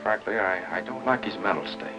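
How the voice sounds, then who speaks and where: A man plays an earnest family doctor from a 1950s Hollywood melodrama. His American voice is on the low side and moves quickly, persuading firmly on an old film soundtrack. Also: muffled recording, music in the background, close to an everyday voice. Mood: concerned. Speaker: film actor.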